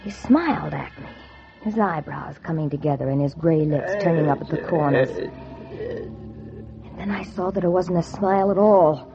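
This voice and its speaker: An old, dull radio recording with loud music behind a low female voice. a young American noir heroine, knowing and slangy, telling her story in wry 1940s radio style. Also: moderate pace, vocal fry. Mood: tense, hushed. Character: wisecracking young dance-hall woman posing as an heiress. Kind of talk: 1940s radio acting, noir first-person narration.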